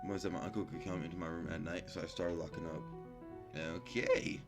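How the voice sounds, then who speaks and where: A man's voice: sits low, steady tone, a little fast, loud music behind the voice. A young man hosting a gaming video, casually reading game text aloud and commenting in slangy, informal speech, stumbling over words as he reads.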